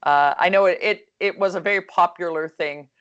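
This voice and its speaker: A woman with a low, calm voice talks about coaching over a video call. Her speech is relaxed and rambling, full of fillers and self-corrections, and her tone stays soothing. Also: brisk, highs cut off. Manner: chatty, lots of fillers and restarts. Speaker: hockey coach. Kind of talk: casual video call discussion.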